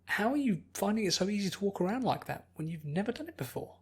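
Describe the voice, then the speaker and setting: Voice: medium pitch, big pitch swings, quick pace, breathy edge, pitched above the performer's own voice. A narrator voicing an anxious, bookish young woman who speaks carefully and earnestly in an acted audiobook. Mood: baffled. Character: anxious, bookish pony princess turned human young woman.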